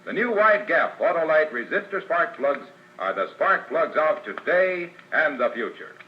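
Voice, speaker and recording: A man's voice: a little high, big pitch swings, measured pace. An American man working as a 1940s radio announcer, polished and declamatory with a salesman's delivery, heard on a muffled vintage broadcast.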